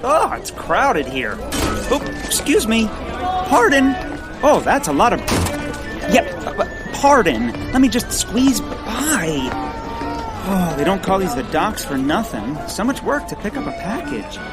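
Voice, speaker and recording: An actor playing a fussy, polite, earnest bird lover, flustered and hesitant, in a comic audio drama. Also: male voice, high-pitched, very animated, slow pace, over loud music, exaggerated voice.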